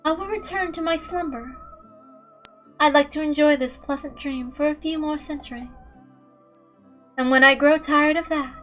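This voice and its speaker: An ancient, regal witch as a woman reader plays her, speaking softly and languidly. The voice is high and indulgent, with a contented air. Faint music sits underneath. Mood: drowsy, indulgent. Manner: soft-spoken, regal, indulgent.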